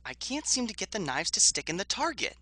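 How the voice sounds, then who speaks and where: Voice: medium pitch, sing-song, a little fast, sharp tone, no room sound, clean studio sound. A performer voicing a cocky, oblivious young male mouse hero in a comedy cartoon parody, smug and petulant.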